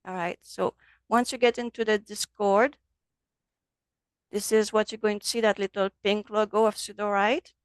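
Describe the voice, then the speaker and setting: A woman with a French accent, a native French speaker, teaching a relaxed online software class over a video call. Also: medium pitch, moderate pace, clear, resonant tone, no room sound. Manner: friendly, loose, switches between English and French. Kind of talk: casual online class walkthrough.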